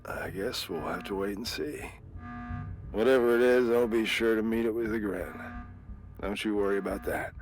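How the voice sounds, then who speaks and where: A male actor voices a wry, defiant man in a dramatic video game. His voice sits slightly low, and he speaks with teasing nonchalance. Faint music plays underneath. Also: moderate pace, natural voice. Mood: wry, reassuring. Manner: sardonic, nonchalant. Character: wry, defiant male colleague, injured but unbothered, teasing.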